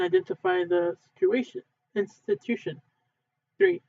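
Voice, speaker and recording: A man reading a textbook aloud in a halting way, stumbling and correcting himself as he goes. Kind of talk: unedited read-aloud of a textbook. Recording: thin phone-like low-fidelity sound.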